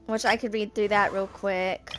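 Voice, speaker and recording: A woman's voice: medium pitch, little pitch movement, moderate pace, fairly bright tone, faint music behind. A woman in her twenties chatting casually to viewers as she streams a game, rambling and thinking aloud. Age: 20s. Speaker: streamer playing a video game.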